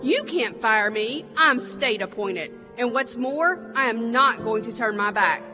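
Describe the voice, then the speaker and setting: An actress playing a principled, outspoken state bank examiner in a stage radio play, forthright and indignant. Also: female voice, on the high side, lively intonation, unhurried. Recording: reverberant theatre hall, music in recording.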